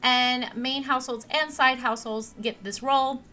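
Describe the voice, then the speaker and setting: A young woman with a naturally monotone voice, casually narrating her gameplay with plenty of ums and restarts. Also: sits high, measured pace. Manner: rambling, with many ums and restarts. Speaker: let's play host. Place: home computer setup. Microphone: desk mic replacing a broken headset.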